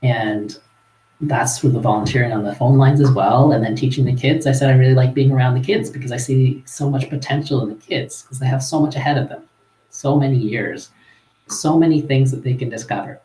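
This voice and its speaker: Canadian male guest, relaxed storyteller with a chuckle, echoey room. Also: mid-range voice, fairly quick. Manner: relaxed, chuckling, with frequent fillers and restarts. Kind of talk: casual storytelling in a live-streamed interview.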